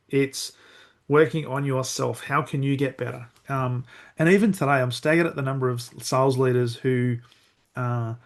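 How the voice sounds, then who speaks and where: A man hosting a podcast interview, agreeing with his guest and building on the guest's points. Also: medium pitch. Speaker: podcast host.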